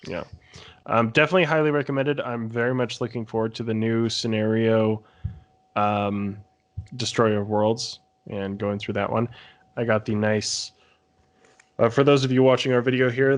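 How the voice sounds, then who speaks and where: Guy on a podcast panel, kinda low voice, casually giving his review.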